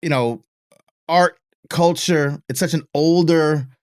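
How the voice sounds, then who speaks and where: A middle-aged man hosting an interview, musing in a relaxed, reflective way. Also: a little high, slow pace, smooth, dry recording, studio quality. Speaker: podcast host. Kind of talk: casual interview chat.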